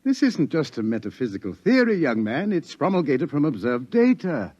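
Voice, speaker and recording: Grave scientist, ominous lecturing, lively rising and falling voice, dull old radio sound. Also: male voice, on the high side, normal speed, no echo. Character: grave older scientist warning of doom. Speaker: doctor. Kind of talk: 1950s radio acting. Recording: dull 1950s radio broadcast.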